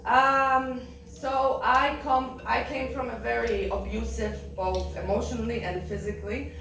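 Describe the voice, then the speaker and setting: Polish young woman, higher voice, candid personal story, laughs a lot, echoey room heard from far away. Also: fairly even intonation, a little slow, gravelly, fairly bright tone, slight background noise. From Poland. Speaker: guest speaker. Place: event room.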